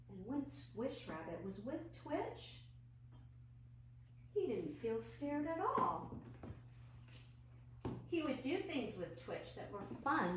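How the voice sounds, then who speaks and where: Slow-paced woman storyteller in a roomy space. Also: on the high side. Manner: gentle, expressive, asks listeners simple questions. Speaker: children's librarian hosting an online storytime. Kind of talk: storytelling for young children, live storytime. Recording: roomy echo, clear background noise and hum.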